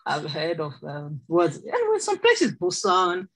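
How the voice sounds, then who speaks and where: A woman's voice: lowish voice, wildly swooping pitch, measured pace, fairly bright tone, husky. Chatty woman student on a video call. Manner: chatty, laughing, with restarts. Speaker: doctoral student. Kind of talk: online class self-introduction.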